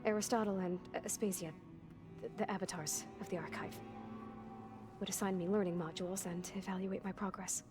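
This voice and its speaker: A woman's voice: medium pitch, steady tone, a little fast, natural voice. An actress playing an anxious, defensive young clone woman in a video game, with clipped, formal, precise wording. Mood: guarded, subdued. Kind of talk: video game dialogue acting. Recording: music behind the voice.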